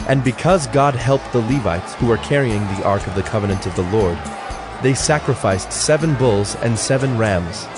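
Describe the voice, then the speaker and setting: A man giving a formal, measured, solemn narration of scripture. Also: medium pitch. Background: music.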